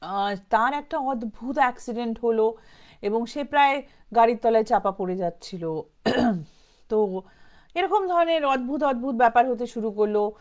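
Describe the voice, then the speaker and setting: A woman's voice: on the high side, moderate pace, a little mellow. A woman with a Bengali accent telling a ghost story informally, in a conversational, unscripted way, slipping English phrases into her speech. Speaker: storyteller, show host.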